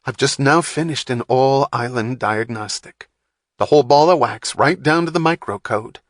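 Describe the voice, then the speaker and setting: A man's voice: mid-range voice, moderate pace, no echo, studio quality, voice pushed up, lightly acted. A man voicing an irritable, profane male computer technician who snaps at everyone. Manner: snapping, abrasive, exasperated.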